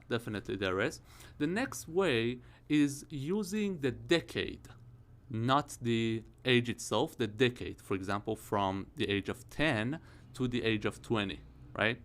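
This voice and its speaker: A man in his early thirties gives a grammar lesson at a mid-range pitch. He explains each point step by step and keeps checking in with his viewers. Keyboard typing is heard behind him. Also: a bit bright. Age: 30s. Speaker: English teacher.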